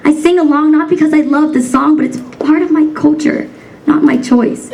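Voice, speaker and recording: A woman's voice: sits high, fairly even intonation, moderate pace, vocal fry, a little mellow, recorded from a distance, poor audio. A young woman from the United States performing a spoken word poem in a rhythmic, rhyming cadence, emphatic and defiant. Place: banquet hall stage.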